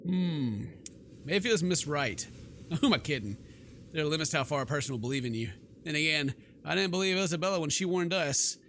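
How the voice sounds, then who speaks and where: High-pitched, animated male gaming host. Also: a little fast, a little hiss or hum. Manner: theatrical, sarcastic, exclamatory. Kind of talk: let's play commentary mixed with reading game text aloud.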